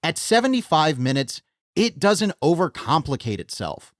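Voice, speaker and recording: A man's voice: on the high side, sing-song, normal speed, dry recording, studio quality. A man with a North American accent hosting a scripted video essay, enthusiastic and wry, with self-deprecating joking asides. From United States. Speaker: host of a film video essay channel.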